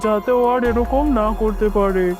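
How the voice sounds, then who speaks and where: A woman's voice: mid-range voice, steady tone, moderate pace, over music, lightly acted. A woman voicing a bullying, grudging male tiger in an animal cartoon. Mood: scheming. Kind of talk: scripted cartoon dialogue.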